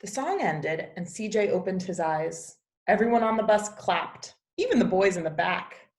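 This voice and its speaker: A woman reading a children's picture book aloud as a teacher, with expressive storybook narration. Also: medium pitch, moderate pace. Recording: echoey, roomy sound.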